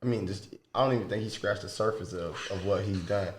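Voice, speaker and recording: A man's voice: fairly low pitch, fast, slight background noise. A man hosting a podcast in casual, slangy interview chat, repeating phrases for emphasis. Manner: informal, slangy, emphatic repetition. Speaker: podcast host. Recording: roomy, echoing sound.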